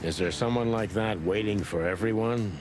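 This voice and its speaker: An actor playing a world-weary, wry rooster detective in a noir video game, with a dry, hard-boiled manner. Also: male voice, on the low side, moderate pace, background noise, lightly acted. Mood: dry, friendly. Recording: music under the voice.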